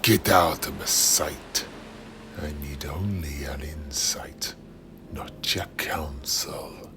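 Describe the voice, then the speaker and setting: A man voicing a menacing, courtly undead pirate captain with a gravelly voice and theatrical, archaic, drawn-out speech. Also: very deep voice, whispered, pitched below the performer's own voice, heavily acted. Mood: contemptuous, commanding.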